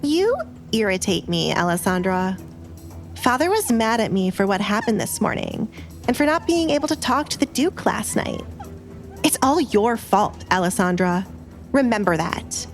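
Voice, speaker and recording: A woman's voice: mid-range voice, very animated, normal speed. Woman voicing a spiteful, spoiled young noblewoman over music. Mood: spiteful, irritated. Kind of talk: audio drama acting.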